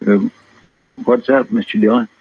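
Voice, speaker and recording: Folksy deputy on tinny old radio. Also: male voice, high-pitched, flat delivery, moderate pace, creaky, slightly rough, dry recording, full character voice. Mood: dutiful. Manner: folksy, respectful. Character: loyal, folksy, deferential marshal's helper, a man. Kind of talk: 1950s radio western acting.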